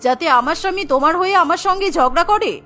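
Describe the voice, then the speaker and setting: A woman's voice: extremely high pitch, fast, pushed and bright, soft background music. A woman voicing a quarrelsome, resentful older mother-in-law in a cartoon, accusing and scolding.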